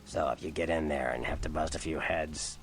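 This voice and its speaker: A man playing a gruff, cynical, streetwise vampire in a video game, speaking bluntly and without hurry. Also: steady tone. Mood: gruff, matter-of-fact. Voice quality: very deep gravelly growl. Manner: blunt, unhurried, sardonic.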